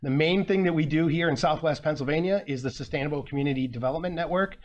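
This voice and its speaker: A man's voice: a little high, fast talker, lo-fi sound. Earnest man presenting at a conference. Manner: earnest, restarts phrases, fillers between points. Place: conference room.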